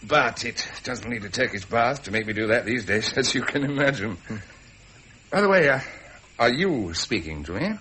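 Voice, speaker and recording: An actor playing an embittered British naval commander, wry and forthright, with an upper-class English accent. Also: male voice, mid-range voice, expressive, moderate pace, bright, forceful tone, a little hiss or hum. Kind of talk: 1970s British period drama acting.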